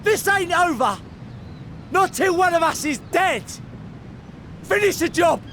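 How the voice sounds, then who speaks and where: An actor playing a defiant pirate with rough, blunt speech in a game cutscene. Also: male voice, very high voice, animated, unhurried, pushed and bright, slight background noise, full character voice. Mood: furious. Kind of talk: video game cutscene acting.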